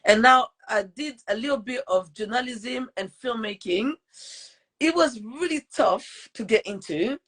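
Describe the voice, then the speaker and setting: A woman's voice: on the high side, a little slow, a bit bright. Chatty woman with a French accent. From Portugal. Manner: chatty, upbeat, with many fillers and restarts. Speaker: podcast host. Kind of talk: casual live interview.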